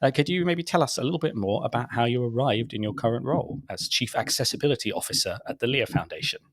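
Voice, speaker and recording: A man's voice: medium pitch, fast. A man hosting a podcast interview, friendly with joking asides. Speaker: podcast host.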